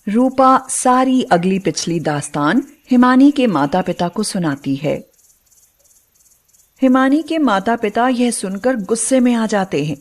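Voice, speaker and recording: A woman's voice: fairly high pitch, animated, measured pace. A woman narrating a scripted moral story in a dramatic storytelling style.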